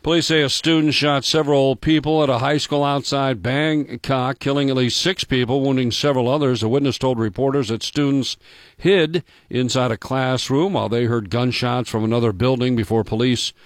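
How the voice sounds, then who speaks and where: A man reading the morning news on a local radio station, brisk and matter-of-fact with small casual asides. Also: medium pitch, fairly even intonation, dry recording, studio quality.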